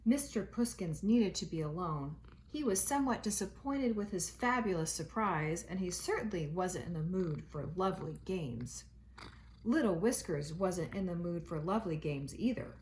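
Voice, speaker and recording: A woman teacher reading a children's storybook aloud, with expressive delivery and dramatic emphasis. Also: lowish voice, moderate pace, a little hiss or hum, poor audio.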